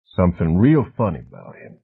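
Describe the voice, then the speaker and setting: A man voicing a huge, gruff heavy with a deep, rumbling, gravelly voice, on a muffled cassette recording. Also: big pitch swings, fairly quick, full character voice. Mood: gruff, flat. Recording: muffled, phone-like old cassette audio.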